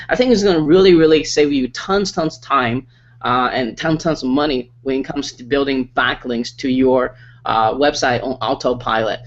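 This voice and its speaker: A man's voice: mid-range voice, muffled recording. A man hosting an online interview, talking in a hyped, salesy way with lots of repeats and fillers. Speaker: interview host.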